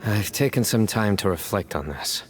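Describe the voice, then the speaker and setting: An actor playing a stoic, serious young judge in a video game, speaking in a grave, measured way. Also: male voice, on the low side, expressive, a little hiss or hum, close to an everyday voice. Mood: reflective.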